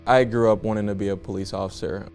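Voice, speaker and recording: A man from Chicago, a police academy recruit, answering interview questions in a steady voice, recorded from a distance. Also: fairly low pitch, fast talker, faint music behind. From Chicago, Illinois.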